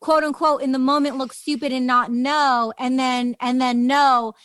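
High-voiced woman hosting a casual interview. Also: fairly even intonation, moderate pace, fairly bright tone, no room sound. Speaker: podcast interviewer.

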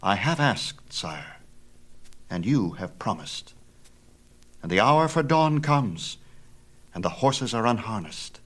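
A man's voice: lowish voice, big pitch swings, slow, long pauses, exaggerated voice. A man voicing a proud, rash young son of a god in a myth tale, earnest, formal and insistent. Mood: stubborn, insistent. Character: proud, rash young demigod son, respectful but stubborn. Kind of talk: dramatized myth storytelling.

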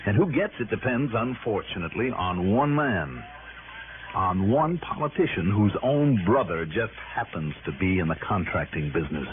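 An American actor playing a tough, self-assured private investigator in 1960s radio drama, speaking in an emphatic, wry, persuasive way. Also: male voice, on the low side, expressive, normal speed, lightly acted. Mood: earnest, confiding. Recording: noisy, tinny old radio broadcast recording.

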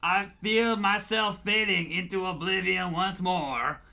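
A man's voice: high voice, fairly even intonation, measured pace, gravelly, bright, forceful tone, exaggerated voice. A man playing a grandiose, wordy villain who threatens doom in a theatrical radio-play parody. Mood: defeated, vengeful. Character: grandiose French melodrama villain vowing doom.

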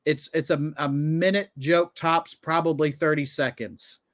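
A man's voice: a little high, steady tone, measured pace, a bit bright, dry recording, studio quality. A man in his thirties, a comedian and podcast co-host, telling things in a casual conversational way. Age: 30s.

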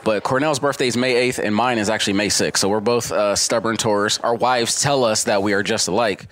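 A man's voice: lowish voice, a little fast, fairly bright tone. A man in his late thirties, a podcast co-host, chatting in an easygoing, rambling way. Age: 30s. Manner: easygoing, rambling, trails off mid-thought. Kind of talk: casual podcast conversation.

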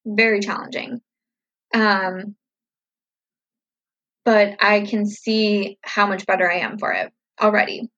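Husky-voiced chatty woman in her thirties. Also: medium pitch, fairly bright tone, lo-fi sound. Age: 30s. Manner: upbeat, chatty, reflective with many fillers. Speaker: vlogger answering viewer questions. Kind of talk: casual Q&A chat to camera.

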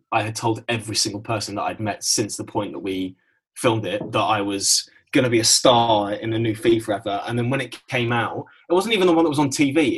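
A man's voice: on the low side, a bit bright, breathy edge. A British man in his twenties co-hosting a podcast, chatting in a conversational, self-deprecating way. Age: 20s. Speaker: podcast co-host. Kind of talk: casual chat and anecdotes.

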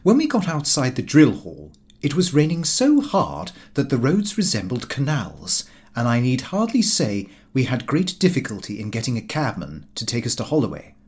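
Animated male audiobook narrator. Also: medium pitch, normal speed, few pauses. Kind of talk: scripted narration of a comic diary novel.

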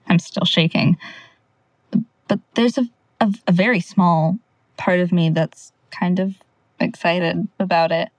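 A woman playing an earnest, eager young believer in magic in an audio drama, halting and confiding. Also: on the low side, a little mellow, no echo, dull audio. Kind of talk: naturalistic audio drama acting.

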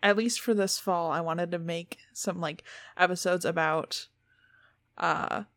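A woman's voice: on the low side, measured pace, no echo. Chatty woman podcast host, dry sound. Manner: chatty, frequent "like" fillers, animated. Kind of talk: casual podcast chat.

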